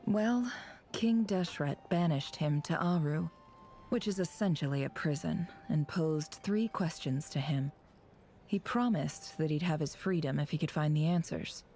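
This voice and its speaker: Game spy: guarded, cynical, low mellow tone, measured wry delivery, faint music underneath. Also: female voice. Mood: scornful. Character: sly, guarded, cynical female intelligence operative. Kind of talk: dramatic video game voice acting.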